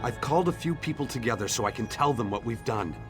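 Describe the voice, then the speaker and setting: A man's voice: medium pitch, lively intonation, fast, lightly acted. A man voicing a hopeful, grateful young townsman in video game dialogue, speaking earnestly and with a declamatory turn. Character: hopeful, grateful young townsman defying fate and rallying his people. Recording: background music under the voice.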